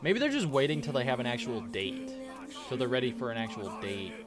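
A young man giving casual, excitable reaction commentary as a gaming streamer, often repeating words. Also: mid-range voice, a little fast. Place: small cluttered gaming room at home. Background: game trailer music.

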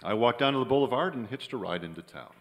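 A man's voice: mid-range voice, expressive, fast talker, natural voice. A man playing a cynical, wry screenwriter in old-time radio drama style, sardonic in delivery. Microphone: stand microphone on stage. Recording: live theater stage, long reverb.

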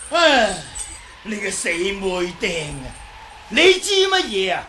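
A man's voice: high voice, very animated, drawn-out words, pushed and bright, exaggerated voice. A man with a Hong Kong Cantonese accent playing a cheeky, argumentative old martial artist and sore loser in a radio drama. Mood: smug, scolding. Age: older. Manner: wheedling, argumentative, exclaiming and chuckling. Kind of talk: 1980s radio drama acting. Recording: noisy old 1980s radio recording.

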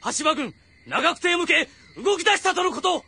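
A man's voice: extremely high pitch, lively intonation, normal speed, gravelly, bright, forceful tone, heavily acted. A man voicing an urgent samurai messenger in a game, reporting formally in a strained voice. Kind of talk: dramatic Japanese game voice acting.

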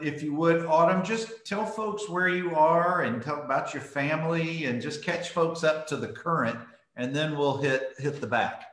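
A man's voice: a little high, fairly bright tone. Man, fifties, friendly host, stumbling restarts, video call, roomy echo. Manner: friendly, repeats words while starting phrases. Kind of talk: casual video call interview. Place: home room with school mascot wall. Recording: echoey room over video call.

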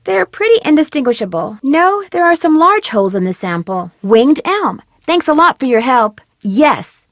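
Young girl sleuth in a game, curious and friendly, bouncy lively voice, dry close mic. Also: high-pitched, dry recording. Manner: inquisitive, friendly, earnest. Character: young adult female amateur detective, curious and polite. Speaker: voice actor for a video game detective heroine. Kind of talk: game voice lines recorded in a studio.

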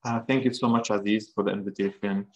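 A man in his thirties from Gaza, a guest on an online panel, speaking in a measured way with frequent fillers over a webcam. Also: fairly low pitch, steady tone, lo-fi sound. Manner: measured, many fillers like "uh" and "you know". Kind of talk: personal account on a video panel. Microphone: laptop webcam on a video call.

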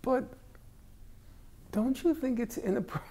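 An older man leads a class in a library room, his voice gravelly and a little husky. He jokes wryly and pokes fun at himself. He is close on a lapel mic. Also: extremely high pitch, animated, a little slow, dark tone. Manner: wry, joking, self-mocking. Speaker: class instructor. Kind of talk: casual classroom talk.

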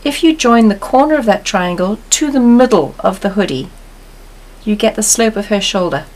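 Woman, painting tutorial voice, calm, explanatory, repeats steps. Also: mid-range voice, normal speed. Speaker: painting instructor. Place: studio table, overhead camera on canvas.

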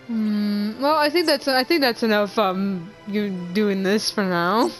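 A young woman chatting casually as she plays a game, excitable, giggly and rambling. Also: mid-range voice, unhurried, music in the background, poor audio. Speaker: gamer. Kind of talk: casual chat over gameplay.

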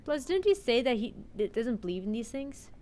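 A woman's voice: fairly high pitch, sing-song, a little fast, dark tone, slight background noise. A woman streamer reading a visual novel's narration aloud into a close mic.

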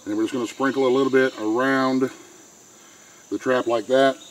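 A man's voice: mid-range voice, steady tone, fairly quick, a little hiss or hum, poor audio. A middle-aged man, explaining step by step in a plain, folksy way how to set a trap, recorded outdoors on a camera mic. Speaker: homesteader showing how to set traps. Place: outdoors by a pen and a wooded creek. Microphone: camera mic, handheld camera close by. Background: faint outdoor insects and crickets.

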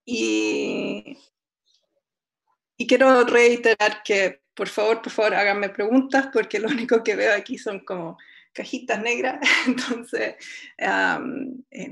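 A woman's voice: a little high, creaky. A young woman with a Chilean accent giving an online physics lecture over a video call, chatty and self-deprecating, with many fillers and restarts. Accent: Chilean Spanish. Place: home room, indoors. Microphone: laptop webcam video call.

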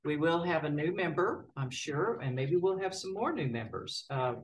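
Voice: medium pitch, normal speed, a little hiss or hum. Chair of a small civic board, casual folksy delivery, picked up at a distance in a meeting room. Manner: folksy, relaxed, hesitant with many "uh" fillers. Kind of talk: formal meeting procedure mixed with casual remarks. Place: city council chamber.

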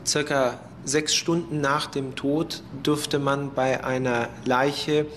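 A man explaining as a forensic medicine expert in an interview, measured and precise in technical wording. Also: medium pitch. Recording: noticeable faint background noise. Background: faint ambient noise.

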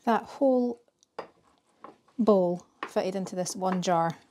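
A woman in her thirties from Scotland, casually explaining a recipe in her home kitchen with a chatty, relaxed manner and some fillers. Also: medium pitch, slow, a little hiss or hum. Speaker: vlogger. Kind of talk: casual narration while cooking.

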